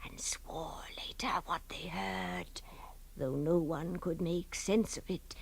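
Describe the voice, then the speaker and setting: An actress playing an old granny who tells ghost stories to children in a suspenseful, hushed, dramatic way. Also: female voice, fairly low pitch, slow, vocal fry, slightly rough, full character voice. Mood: hushed, ghoulish. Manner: suspenseful, hushed whispers swelling to emphasis. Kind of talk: dramatic spooky storytelling.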